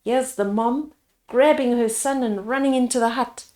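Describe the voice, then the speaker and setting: A woman reading a children's picture book aloud as a storyteller, pausing to explain the pictures to young listeners. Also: on the high side, a little mellow. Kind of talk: read-aloud storytime narration with asides to children.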